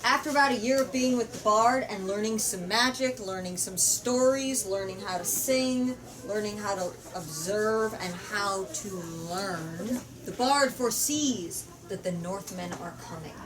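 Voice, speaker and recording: Female book-talk leader: storytelling and questioning, mid pitch, emphatic, distant mic with clear room noise. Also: unhurried, few pauses. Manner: emphatic, explanatory, asks questions. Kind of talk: storytelling and literature discussion. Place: bookstore.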